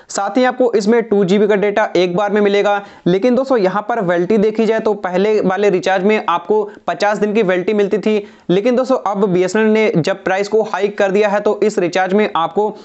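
Young man explaining on a lo-fi lapel mic. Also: sits high. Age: young adult. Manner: repetitive, keeps saying "friends", steady explanatory delivery. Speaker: tech channel host. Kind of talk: explaining phone recharge plans to camera. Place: small indoor room, red backdrop. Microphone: clip-on lapel mic.

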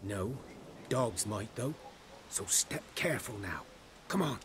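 A low-voiced, wary village hunter. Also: male voice, expressive, background noise. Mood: wary, polite. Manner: hesitant, rustic, measured. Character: wary, soft-spoken male village hunter, melancholy loner. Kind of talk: video game voice acting.

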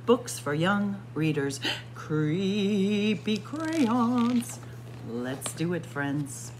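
Librarian, woman, slow and theatrical read-aloud, wide-ranging lowish voice, faint hum behind. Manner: dramatic, expressive storytelling with spooky whispers. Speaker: children's librarian. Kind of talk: picture book read-aloud. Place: library with bookshelves.

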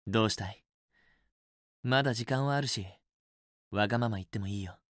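A man's voice: lowish voice, fairly quick, fairly bright tone, no echo, studio quality, natural voice. A man playing a possessive, teasing young student boyfriend in a romance game, with an intimate, assertive, coaxing delivery. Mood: caring, casual. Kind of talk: Japanese otome game voice acting, intimate romantic dialogue.

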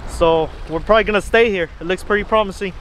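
A man's voice: sits high, fast. A man, chatting casually and slangily as a fishing vlogger into a handheld camera outdoors. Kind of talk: casual vlog chat. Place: outdoors on a windy rocky lakeshore. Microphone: handheld camera at arm's length. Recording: windy outdoor camera audio, faint noise.

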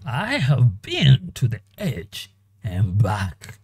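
A man performing the same dramatic monologue several times over, in a slow, theatrical delivery with long pauses. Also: medium pitch, huge pitch swings, darkish tone, husky, no room sound. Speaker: animator and voice actor. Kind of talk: dramatic monologue in five voice takes.

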